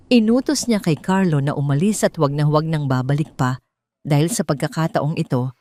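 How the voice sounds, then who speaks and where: A woman with a Filipino accent reads a scripted dramatic story in a measured, emphatic way. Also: deep voice, mellow.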